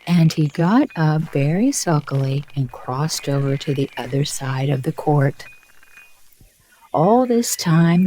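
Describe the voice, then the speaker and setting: A woman narrating a bedtime story in a soothing, measured storyteller's manner. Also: low voice, dark tone, low-quality recording.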